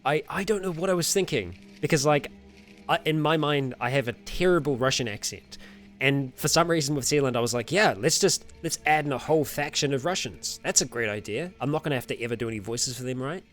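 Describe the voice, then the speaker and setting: A man's voice: mid-range voice, a little fast, a bit bright, faint music behind. A man hosting a casual livestream and answering viewer comments, rambling a little with fillers, self-corrections and frequent laughs. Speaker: streamer host.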